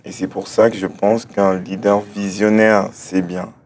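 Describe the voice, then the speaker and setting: A man's voice: fairly low pitch, little pitch movement, unhurried, a little mellow, a little hiss or hum. A man from Cameroon answering interview questions, hesitant and often repeating words as he thinks aloud. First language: German-speaking background. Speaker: interview guest, start-up founder.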